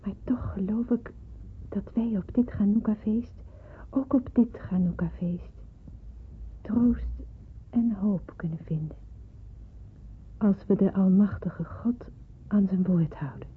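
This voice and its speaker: A devout young daughter in a wartime radio play. Her voice is very husky and breathy, and she speaks with solemn, measured earnestness. The old recording sounds thin and phone-like. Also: mid-range voice. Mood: solemn, hopeful. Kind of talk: radio drama narration.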